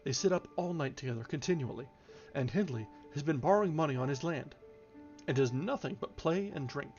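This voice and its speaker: A sensible servant woman in a storybook, read by a man, speaks frankly and earnestly. The voice is fairly high and plain. Soft music sits behind a slightly muffled recording. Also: lively intonation, brisk, natural voice. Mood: earnest caution. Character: sensible, frank female household servant giving advice.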